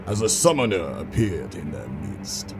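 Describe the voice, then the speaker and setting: Gothic horror narrator, played by a man: very low, slow, ominous delivery over faint music. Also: big pitch swings, exaggerated voice. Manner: ominous, theatrical. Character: grave storyteller of a gothic monster tale. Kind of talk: dramatic scripted horror narration.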